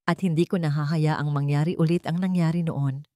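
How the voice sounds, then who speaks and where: A woman's voice: deep voice, steady tone, normal speed, dark tone, no echo, studio quality, natural voice. A woman voicing a determined, defiant billionaire son and father in a story, firm and insistent.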